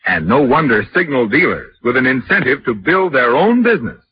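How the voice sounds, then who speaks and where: Casting: male vintage radio announcer, persuasive and polished, lively intonation, muffled recording. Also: medium pitch, fairly quick, slight background noise. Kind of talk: 1940s radio sponsor announcement.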